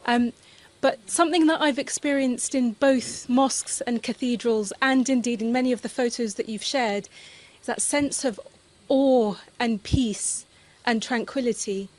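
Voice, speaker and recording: A young woman from Algeria, an audience member, asking a thoughtful question earnestly, with some "um" pauses. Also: on the high side, darkish tone.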